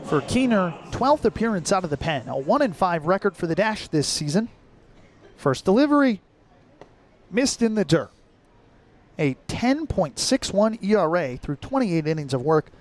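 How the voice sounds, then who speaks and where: A man doing live sports play-by-play as a commentator, over the sound of the venue. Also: high voice.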